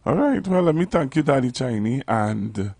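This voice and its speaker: Male Trinidadian radio host, playful and animated, dry studio sound. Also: medium pitch, normal speed, slightly rough, dry recording. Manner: playful, teasing, animated, dialect phrasing. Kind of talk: casual live radio interview.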